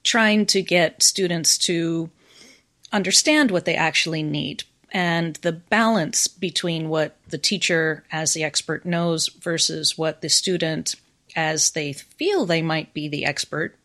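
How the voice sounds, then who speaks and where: American woman professor, lowish voice. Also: a little slow, fairly bright tone. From United States. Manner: friendly, laughs often while explaining. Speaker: university professor, podcast guest. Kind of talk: casual podcast conversation.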